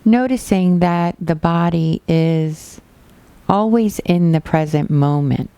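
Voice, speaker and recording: Slow, low-voiced woman guiding meditation. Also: clear, resonant tone, dry recording. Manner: gentle, unhurried instruction with pauses. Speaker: meditation teacher. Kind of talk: guided body scan meditation.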